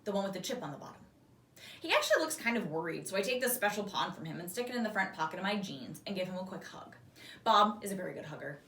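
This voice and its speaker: Animated woman reading a storybook, roomy sound. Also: mid-range voice, fast talker. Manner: animated, acts out the dialogue. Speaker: fifth grade teacher reading aloud. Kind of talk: expressive children's storybook read-aloud. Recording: roomy echo, distant microphone.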